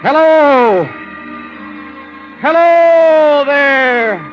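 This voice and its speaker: An actor playing a hard-boiled investigator who tells his own ordeal in a deliberate, intense 1950s radio drama style. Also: very high-pitched, full character voice. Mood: urgent shouting. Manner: deliberate, intense, theatrical storytelling. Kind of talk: 1950s radio drama acting, dramatic first-person narration. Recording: dull vintage radio recording with prominent music.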